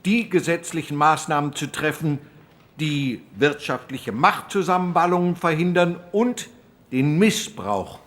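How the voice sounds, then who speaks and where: An actor playing an earnest conservative politician of the postwar years, giving a deliberate, declamatory parliamentary speech in a radio docudrama. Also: male voice, fairly high pitch, lively intonation, fairly bright tone, slight background noise. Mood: earnest, urgent. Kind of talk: formal parliamentary oratory in docudrama.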